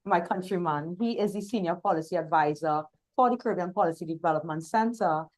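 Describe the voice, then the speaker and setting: A woman's voice: fairly low pitch, fairly quick. Trinidadian woman moderating a panel. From Trinidad and Tobago. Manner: warm formal introductions with fillers. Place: outdoor hot venue, hybrid session.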